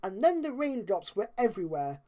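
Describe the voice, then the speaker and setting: A woman's voice: mid-range voice, very animated, moderate pace, mellow. A woman narrating a story expressively, as if reading a storybook aloud.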